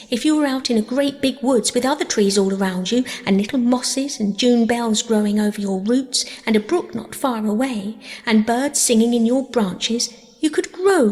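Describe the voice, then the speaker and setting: A woman's voice: on the high side, darkish tone, long reverb tail, natural voice. A woman voicing a chatty, dreamy, eager young orphan girl for an audiobook, with gushing, wordy delivery. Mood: dreamy, earnest. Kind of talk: audiobook character voice.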